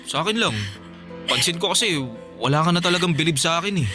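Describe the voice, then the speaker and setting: A defensive young boyfriend in a Filipino radio drama. His voice sits high and bright for a man, hesitant as he pleads his case. Light music runs behind him. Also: lively intonation, fast.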